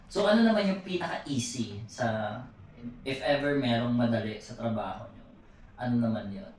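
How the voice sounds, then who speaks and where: A man's voice: medium pitch, animated, normal speed, darkish tone. A young man with a Filipino accent hosting a casual interview, switching between his speech and English phrases. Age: young adult. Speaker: podcast host. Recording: very echoey, slightly distant, faint noise.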